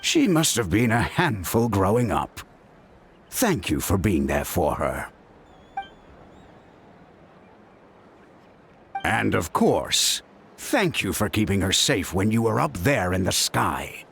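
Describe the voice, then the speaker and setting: Fussy, very animated caring uncle. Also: male voice, on the low side, unhurried, vocal fry, a little hiss or hum, heavily acted. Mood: fretful, warm. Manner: scolding, expressive. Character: fussy, caring adult male doctor, exasperated but kind. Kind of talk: video game voice acting.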